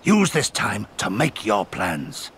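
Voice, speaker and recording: An actor playing a stern, fatalistic rebel leader in a video game, speaking in a grave, formal, declamatory way. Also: male voice, fairly low pitch, huge pitch swings, slow, fairly bright tone, a little hiss or hum, full character voice. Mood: resolute, urgent.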